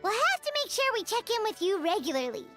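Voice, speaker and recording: A woman voicing a small childlike fairy companion in an anime game, bubbly, eager and squeaky. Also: fast talker, soft background music, heavily acted. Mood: excited, upbeat. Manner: excitable, refers to self in third person. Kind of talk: anime video game voice acting.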